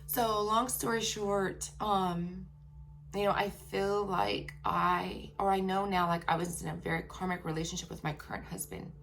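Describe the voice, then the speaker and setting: A woman telling a personal story to her viewers. She speaks frankly and emphatically and keeps checking in with "okay?". Her voice is turning raspy after a long workday. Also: medium pitch, fairly even intonation, moderate pace, fairly bright tone.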